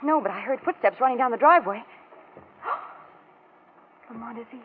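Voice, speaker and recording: A woman playing a concerned, quick-witted lady companion to a sleuth in a 1940s radio mystery. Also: a little high, lively intonation, natural voice. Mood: alarmed. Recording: muffled, hissy old-time radio recording.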